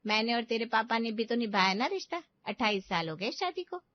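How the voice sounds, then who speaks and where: A woman's voice: on the high side, very animated, brisk, highs cut off. A woman playing a caring middle-aged mother in an audio drama, speaking in a soothing, motherly way. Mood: gently persuading. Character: caring, reassuring middle-aged Indian mother of two daughters. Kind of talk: Hindi audio drama acting.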